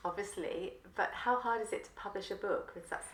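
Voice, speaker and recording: A woman's voice: mid-range voice, brisk. A woman co-hosting a podcast, asking a guest questions in a friendly, enthusiastic way. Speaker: podcast co-host. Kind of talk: interview questions. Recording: echoey room with faint noise.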